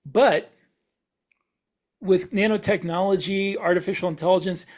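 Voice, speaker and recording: A man co-hosting a podcast, explaining ideas in casual discussion and often restating phrases in a halting way. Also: high voice, darkish tone. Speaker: podcast co-host.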